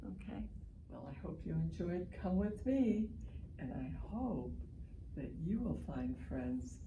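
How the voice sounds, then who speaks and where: A woman hosting a children's storybook read-aloud, speaking in an encouraging, expressive way and pausing to ask young listeners questions. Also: on the low side, creaky, dull audio, noisy background. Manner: encouraging, expressive, lingers on phrases and prompts listeners. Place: at home, online session.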